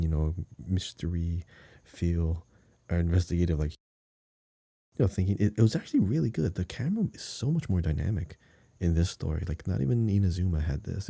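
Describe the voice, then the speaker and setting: A man's voice: deep voice, creaky, dark tone, no echo. A man co-hosting a podcast, chatting casually about a video game and rambling through descriptions in short phrases. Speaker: podcast co-host.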